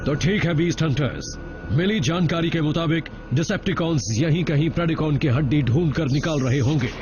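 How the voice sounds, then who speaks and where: An actor playing a stern, by-the-book robot commander in a dubbed cartoon, with an authoritative military manner. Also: male voice, on the high side, fairly quick, background noise, natural voice. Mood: briefing, resolute. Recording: dull sound, music behind.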